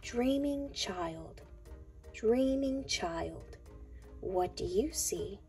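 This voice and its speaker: A woman reading a picture book aloud to young children in a deliberate, sing-song way. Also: mid-range voice, lively intonation, a little mellow, faint music behind. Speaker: children's read-aloud narrator.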